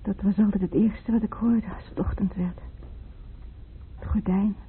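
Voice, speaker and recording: A woman's voice: medium pitch, fairly even intonation, normal speed, slight background noise, close to an everyday voice. A woman acting a fragile, confused young wife in hospital in a radio drama. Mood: dreamy, frail. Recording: muffled phone-like old radio recording.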